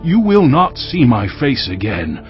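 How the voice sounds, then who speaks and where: Earnest, expressive pleading son. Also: male voice, medium pitch, unhurried. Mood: solemn. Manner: solemn, urgent persuasion. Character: earnest, insistent grown son pleading with father. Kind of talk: dramatized audio Bible acting. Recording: slightly muffled, music behind.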